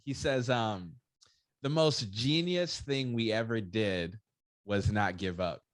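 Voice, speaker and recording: A man's voice: fairly low pitch, takes it slowly, dry recording. A man hosting a podcast in casual, informal conversation, affirming as he goes. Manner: affirming, informal, interjecting agreement. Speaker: podcast host. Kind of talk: casual interview chat.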